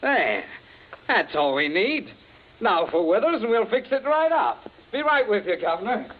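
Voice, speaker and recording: An actor playing a flippant, jaunty young son in a 1940s radio drama. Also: male voice, high-pitched, big pitch swings, normal speed. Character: cheeky young adult son, flippant accomplice. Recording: tinny lo-fi archive radio with faint hiss.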